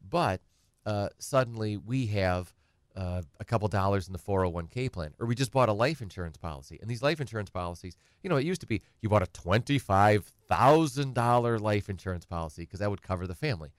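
A man's voice: lowish voice, animated, brisk, no echo, clean studio sound. A middle-aged man, a guest attorney explaining estate planning in a rambling, conversational way and acting out clients' questions. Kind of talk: conversational talk show explanation.

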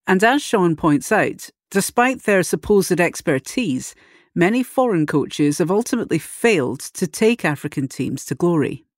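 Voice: mid-range voice, very animated, unhurried, no echo, studio quality. A host narrating a scripted report and putting questions to a guest.

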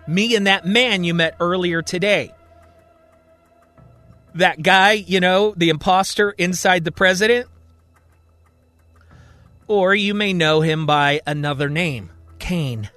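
A man voicing a calm, patient alien who explains things gently and deliberately in an audio drama. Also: sits high, faint music behind, heavily acted. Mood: grave, explanatory.

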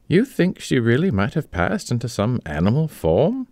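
A man's voice: medium pitch, huge pitch swings, moderate pace, darkish tone, no echo, voice pushed up. A man reading a story aloud, voicing a fretful, tender-hearted wife with a raised, pleading voice. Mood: anxious.

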